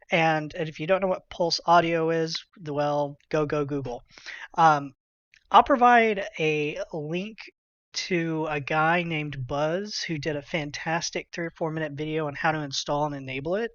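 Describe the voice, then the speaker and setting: A man narrating a software tutorial in an informal, conversational, slangy way. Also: a little high, no room sound, highs cut off. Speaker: tutorial presenter.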